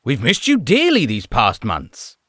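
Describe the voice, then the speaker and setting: An actor playing a courtly, effusive old-fashioned gentleman in a comic dub. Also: medium pitch, wildly swooping pitch, a little slow, dry recording, clean studio sound, full character voice. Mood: effusive, welcoming. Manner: florid, courtly.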